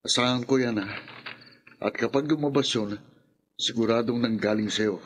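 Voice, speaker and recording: A man's voice: mid-range voice, normal speed, slightly rough, natural voice. A brusque young man with a mid-range voice played by a male actor in a radio drama. He is secretive and self-protective, pushing hard and waving off objections. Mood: insistent, warning. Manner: insistent, brusque. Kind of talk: Filipino radio drama acting.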